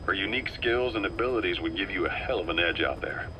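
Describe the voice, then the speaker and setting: Low voiced army officer in a game, calm n calculating, persuasive, noisy recording. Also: male voice. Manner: measured, assured, reasoning patiently. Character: calm, persuasive, calculating male military officer, middle-aged. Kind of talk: dramatic game voice acting.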